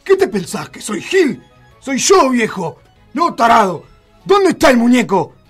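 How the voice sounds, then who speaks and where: A man's voice: very high-pitched, big pitch swings, measured pace, husky, faint music behind, exaggerated voice. An actor playing an irascible, greedy old puppet-maker with an Argentine accent in a comic radio play, a man's voice. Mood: furious.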